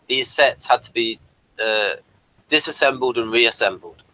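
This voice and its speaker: Bright-voiced man, halting and rambling. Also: medium pitch, poor audio. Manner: halting, rambling, repeats and restarts phrases. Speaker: podcast co-host. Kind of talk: casual fan discussion with behind-the-scenes trivia.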